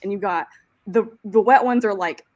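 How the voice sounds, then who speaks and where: An enthusiastic woman from Tennessee co-hosts a relaxed talk show, sounding playful. Her voice is recorded dry and close, with no room sound. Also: medium pitch, normal speed, dry recording, dull audio. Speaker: co-host. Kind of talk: casual chat.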